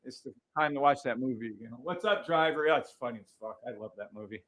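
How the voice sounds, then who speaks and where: A man hosting a trading livestream in casual chat, speaking loudly and bluntly with frequent swearing and laughter into a boom mic. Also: quick pace, no echo. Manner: loud, blunt and profane, laughs often.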